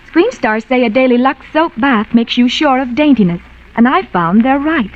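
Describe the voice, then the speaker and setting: An actress playing a bubbly young wartime housewife talking aloud to herself in a 1940s radio soap commercial. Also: female voice, sits high, animated, normal speed. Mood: confiding. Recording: muffled vintage 1940s radio broadcast.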